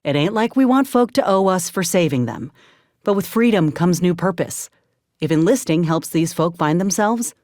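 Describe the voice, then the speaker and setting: An actress playing a gruff, loyal sci-fi soldier and medic in a video game, speaking in a folksy, plainspoken, measured way. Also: female voice, lowish voice, a little mellow, no echo, studio quality, close to an everyday voice. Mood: earnest, measured. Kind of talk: video game companion dialogue.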